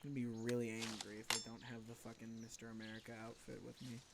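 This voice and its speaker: A man's voice: mid-range voice, completely flat delivery, fast, gravelly, very noisy. A young adult man doing casual, profane let's play commentary in an exasperated, sarcastic way. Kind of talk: casual profane gaming chat. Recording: loud game audio behind.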